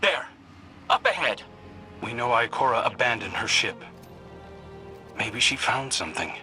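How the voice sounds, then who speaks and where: An actor playing an earnest, dutiful young hunter in a video game, speaking with focus and quiet confidence. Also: male voice, mid-range voice, lively intonation, unhurried. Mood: urgent. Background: faint game music.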